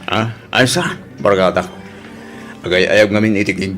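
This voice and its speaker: A man's voice: on the low side, moderate pace, fairly bright tone, faint music behind, lightly acted. A man acting an evasive, hesitant married man in an Ilocano audio drama, with a Filipino accent. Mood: evasive, uneasy.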